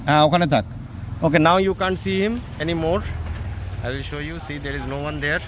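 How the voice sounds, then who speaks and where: A man's voice: fairly high pitch, rapid-fire, a bit bright, slight background noise. A man with a Bengali Indian accent, a native Bengali speaker, giving an informal hands-on product demonstration as a vlogger. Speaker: vlogger presenting a product test.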